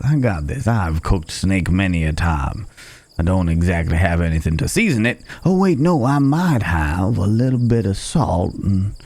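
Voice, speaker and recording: Casting: male actor as gruff, caring cowboy stranger for Western ASMR roleplay; deep voice, slow folksy ramble, night crickets behind. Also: a little mellow, exaggerated voice. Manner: folksy, rambling, murmured asides to horse and companion. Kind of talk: ASMR Wild West roleplay.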